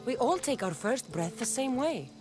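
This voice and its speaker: A woman's voice: fairly high pitch, big pitch swings, normal speed. A woman playing a plain-spoken ancient Greek heroine in video game dialogue, direct, terse and skeptical. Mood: firm. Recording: music under the voice.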